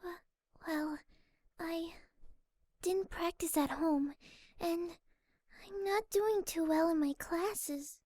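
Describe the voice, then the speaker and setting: A shy lil girl pegasus pony, super high timid voice, gentle, dry close sound, cartoon fanfic drama. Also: slow pace, long pauses, no echo. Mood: timid, apologetic. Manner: timid, hesitant, soft-spoken. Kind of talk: fan fiction audio drama.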